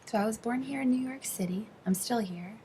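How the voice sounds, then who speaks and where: A woman working as an interpreter, voicing an interview answer in the first person, phrase by phrase with halting delivery. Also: on the high side, warm tone, a little hiss or hum. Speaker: sign language interpreter voicing a deaf interviewee.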